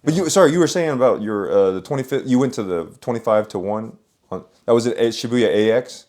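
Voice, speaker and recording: A man's voice: mid-range voice, fast talker, a little mellow. A man hosting a casual conversation, agreeing often and chiming in to finish the guest's thoughts. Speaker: host, interviewer. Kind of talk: casual chat.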